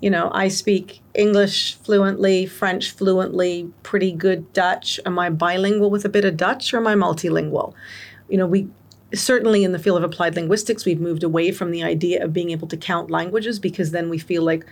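A woman's voice: lowish voice, steady tone, normal speed. A woman guest giving long, articulate interview answers on education, explaining her points with examples. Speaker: guest expert on multilingual education.

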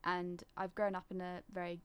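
A woman's voice: lowish voice, monotone, normal speed, a bit bright, clear, resonant tone. A young woman from Singapore with a British accent, talking reflectively and articulately in a casual conversation, often qualifying what she says. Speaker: discussion participant.

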